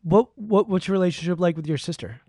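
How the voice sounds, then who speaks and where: A man with a mellow, warm tone and a slightly high voice hosts a podcast interview. He sounds upbeat and eager. The recording is dry and close, with no room sound. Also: quick pace, no echo. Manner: upbeat, enthusiastic introduction. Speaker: podcast host.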